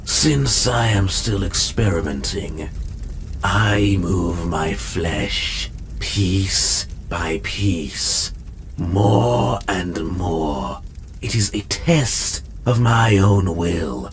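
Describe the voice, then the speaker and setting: Male actor as mad scientist villain, half machine, very deep, unhurried, theatrical, gloating, background music. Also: lively intonation, heavily acted. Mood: cold, menacing. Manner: theatrical, smug, deliberate, drawn-out menace. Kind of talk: scripted comic dub villain monologue.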